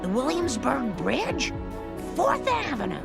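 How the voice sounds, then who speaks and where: A woman's voice: high voice, wildly swooping pitch, slow pace, gravelly, over loud music, full character voice. A woman playing an exuberant musical cartoon character.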